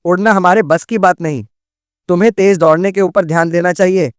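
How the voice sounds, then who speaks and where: A man's voice: high voice, fairly quick, smooth, dry recording, studio quality, lightly acted. A man playing a sceptical, practical monkey in a children's cartoon, lecturing a friend in a chiding way. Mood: scolding. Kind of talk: Hindi children's cartoon dialogue.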